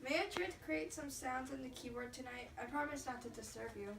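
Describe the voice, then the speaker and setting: A woman's voice: sits high, a little fast, vocal fry, a bit bright. A woman playing a polite, literal robot in readers theatre, speaking in formal, deferential phrases. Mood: polite request. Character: polite, literal, eager-to-learn household robot. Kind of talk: amateur readers theatre performance. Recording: distant mic, very echoey room, faint noise.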